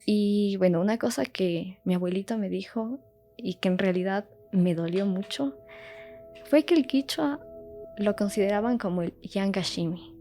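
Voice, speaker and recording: A woman's voice: mid-range voice, normal speed, mellow, faint music behind. A young adult woman speaking in an interview, casual and heartfelt, with lots of fillers. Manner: chatty, heartfelt, many fillers.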